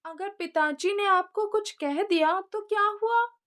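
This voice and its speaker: A gentle, devout young son from a moral tale, played by a woman, reasons respectfully and earnestly. The voice is very high and unhurried, with a pleading tone. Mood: soothing, earnest. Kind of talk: acted dialogue in a moral storybook tale.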